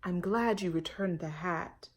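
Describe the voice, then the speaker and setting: A mother from a children's picture book, played by a woman reading aloud. She is gentle yet firm, talking as a parent does to her young daughter. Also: on the low side, measured pace. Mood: gently firm. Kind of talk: children's storybook read-aloud.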